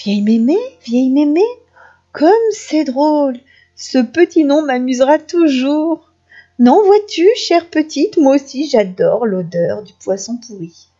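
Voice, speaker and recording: A woman's voice: high-pitched, expressive, slow pace, warm tone, dull audio, faint music behind, voice pushed up. Woman doing a small, quavering storybook grandma. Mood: sweetly amused. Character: kindly, sly little old grandmother. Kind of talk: children's storybook character voice.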